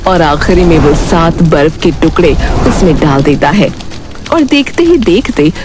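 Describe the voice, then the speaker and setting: A woman giving scripted, dramatic storytelling narration for an animated moral story. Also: low voice, measured pace. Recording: loud music behind the voice. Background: music.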